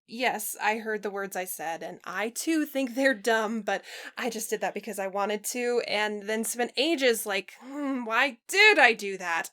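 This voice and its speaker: A woman's voice: fairly high pitch, pushed and bright. A woman voicing a self-deprecating, lovestruck young male alien troll who blurts in slangy run-on streams.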